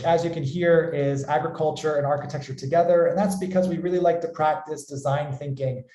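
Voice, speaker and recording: A man's voice: medium pitch, dark tone. An upbeat American man speaking as a company founder, introducing and hosting an online webinar with enthusiasm. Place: indoor room, online webinar call. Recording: roomy, echoey sound.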